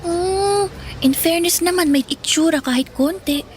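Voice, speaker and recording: A high-voiced, insistent teenage girl, teasing and bossing her friend with a Filipino accent. It is radio drama, with faint music underneath. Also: unhurried. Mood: pushy, excited. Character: bossy, teasing high school girl, pushy best friend. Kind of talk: Tagalog radio drama acting.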